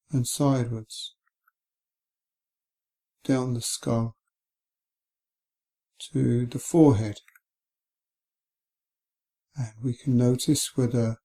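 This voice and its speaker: A man guiding a meditation in a gentle, calm, unhurried way, leaving long pauses between phrases. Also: medium pitch. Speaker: meditation guide. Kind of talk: guided body scan meditation.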